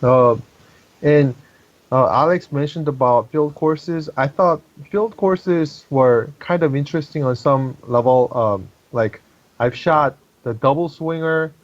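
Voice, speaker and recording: Man, non-native English speaker, halting and searching for words, a bit muffled. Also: a little high, no echo. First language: not English. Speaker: podcast guest, competitive shooter. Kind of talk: casual chat.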